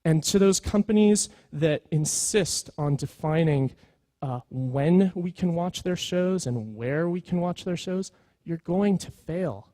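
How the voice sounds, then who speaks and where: A man's voice: on the high side, lively intonation, takes it slowly, dark tone, no echo. A man presenting at a conference in an informal, earnest storytelling way, with emphatic points. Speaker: conference speaker, creative executive.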